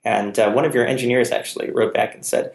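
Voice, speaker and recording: A man hosts a casual podcast in a chatty, rambling way, poking fun at himself. His voice has a gravelly fry. The room around him is echoey. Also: mid-range voice, steady tone, fast. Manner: chatty, rambling, self-deprecating. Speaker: podcast host. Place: room in Palo Alto, California.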